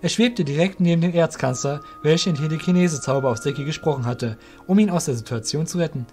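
A man narrating a scripted fantasy audio drama as a storyteller, with measured delivery. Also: on the high side, darkish tone, faint music behind.

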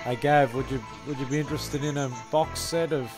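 A man's voice: medium pitch, fairly even intonation, fairly quick, music in the background. A man hosting a comedy sketch, mixing stumbling improvised narration with laid-back, matey banter.

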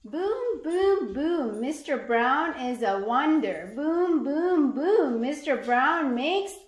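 High-pitched woman reading aloud to children, expressively. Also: slow pace, reverberant. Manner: sing-song, expressive, acting out sound words. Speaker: parent or teacher reading aloud and asking questions. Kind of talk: animated children's picture-book read-aloud.

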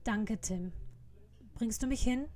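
Woman as a high-voiced goody-goody girl. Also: slow, dark tone. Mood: sweet, compliant. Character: sweet, devoted, goody-goody teenage girl.

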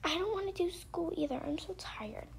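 Woman as young daughter, pleading and defiant, pitched high, homemade roleplay with some background noise. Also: fairly quick, creaky. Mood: whiny. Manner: whining, pleading, argumentative. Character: whiny, defiant young daughter who hates school. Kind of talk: pretend-play family roleplay.

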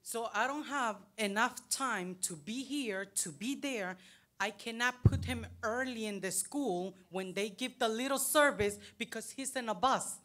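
A woman's voice: on the high side, a bit bright. Earnest woman with a Salvadoran Spanish accent. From United States, raised in El Salvador. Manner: earnest, halting English with searching pauses. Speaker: parent speaking at a public meeting. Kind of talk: plain-spoken public comment. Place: library auditorium. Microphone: handheld microphone.

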